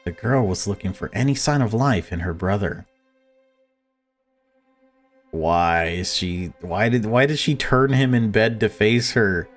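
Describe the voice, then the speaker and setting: A man's voice: fairly low pitch, expressive, a little slow, long pauses, faint music behind. A man reading a visual novel aloud as a let's player, breaking off into casual commentary.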